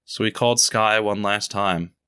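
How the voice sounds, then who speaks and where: A man narrating a radio drama in a measured, somber storytelling voice. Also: lowish voice, steady tone, bright, forceful tone, smooth. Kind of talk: scripted narration.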